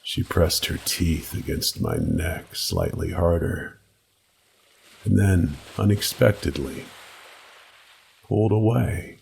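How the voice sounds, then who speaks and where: A man narrating a creepy first-person story in a slow, measured, brooding and dramatic way. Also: low voice, very animated, gravelly, darkish tone. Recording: faint background noise.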